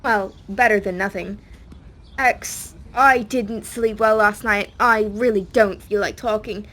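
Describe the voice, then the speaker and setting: A woman's voice: mid-range voice, steady tone, takes it slowly, fairly bright tone. A woman voicing a timid, paranoid and tired young male builder in an audiobook, evasive and uneasy. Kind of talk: audiobook character reading.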